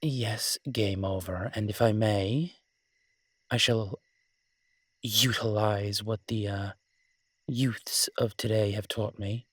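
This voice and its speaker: Male actor as smitten werewolf man, low husky voice, tender, teasing, rambling, self-deprecating, intimate romance audio roleplay. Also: steady tone, slow pace, long pauses, no echo, clean studio sound. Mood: playful, self-deprecating.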